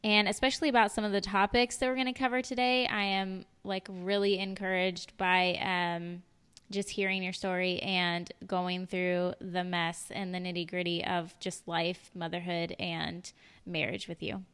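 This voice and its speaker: A woman's voice: on the low side, flat delivery, moderate pace, fairly bright tone, smooth. A woman in her late twenties hosting a podcast interview, bubbly and enthusiastic with plenty of fillers. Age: 20s. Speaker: podcast host.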